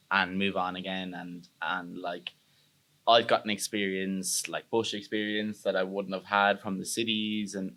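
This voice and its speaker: A young man with an Irish accent and a deep, low voice. He talks earnestly in a loose, rambling way and often restarts his sentences. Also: creaky, fairly bright tone. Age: young adult. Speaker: podcast guest. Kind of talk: casual interview chat.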